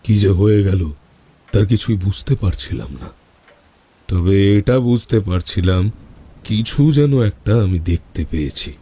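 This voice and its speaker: A man playing a tantric mystic who recounts eerie encounters in an audio horror drama, measured and suspenseful. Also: low voice, dark tone. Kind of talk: first-person horror storytelling in audio drama.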